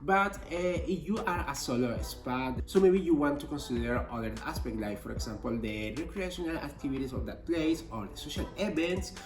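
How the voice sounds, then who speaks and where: A man's voice: fairly high pitch, a little fast, hardly any pauses. A man who grew up in Spain speaks English, giving upbeat, emphatic advice in an informational vlog. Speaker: expat vlogger. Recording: echoey room, distant mic, faint music.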